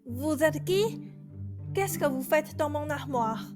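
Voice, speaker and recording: A woman's voice: high-pitched, lively intonation, slow. A woman playing a put-upon young servant girl, a plaintive Cinderella with some exasperation, in a student radio play. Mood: exasperated. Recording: music behind the voice.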